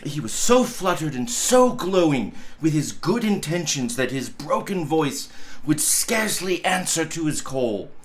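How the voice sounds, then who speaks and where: A man, a pastor, reading a storybook aloud in an expressive, theatrical and emphatic way. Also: fairly high pitch, measured pace, slight background noise.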